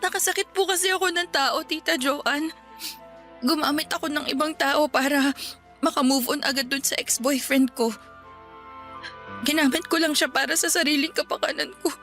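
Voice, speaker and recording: An actress playing a remorseful young woman covered in sores, speaking softly and apologetically in a radio drama. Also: high-pitched, steady tone, normal speed, soft background music. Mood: downcast. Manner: soft, downcast and apologetic.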